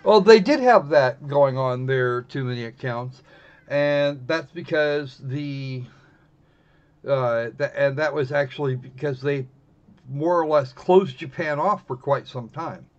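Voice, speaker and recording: A man's voice: mid-range voice, takes it slowly. A man livestreaming a visual novel, giving casual live commentary into a slightly dull, noisy microphone.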